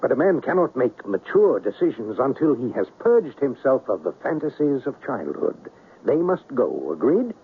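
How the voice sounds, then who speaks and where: A commanding alien exile acted by a man speaks in grand, measured phrases with a fatherly touch. His mid-pitched voice rises and falls dramatically. It comes through a narrow, faintly noisy vintage radio drama recording. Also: sing-song, lightly acted. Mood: philosophical, probing. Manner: theatrical, measured, declamatory. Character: worldly exiled alien scoundrel, commanding and gently paternal. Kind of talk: 1970s radio drama acting. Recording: muffled, noisy old radio broadcast.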